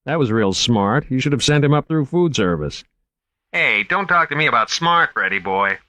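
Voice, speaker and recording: A man voicing a gruff, sarcastic male security guard in an audiobook reading. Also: medium pitch, expressive, normal speed, bright, forceful tone, no echo, voice pushed up. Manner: sarcastic, dismissive.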